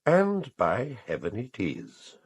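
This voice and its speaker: An actor playing a curious, wry gentleman house guest in a radio drama. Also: on the low side, wildly swooping pitch, slow, vocal fry, slightly rough, heavily acted.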